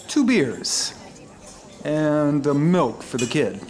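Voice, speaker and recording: A woman's voice: low voice, big pitch swings, slow pace, darkish tone, a faint crowd, heavily acted. A woman voicing a brash, profane teenager with a cocky manner.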